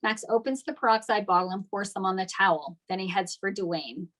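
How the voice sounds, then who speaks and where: A woman's voice: medium pitch, a little fast, fairly bright tone. A woman giving scripted narration, reading out stage directions at a table read.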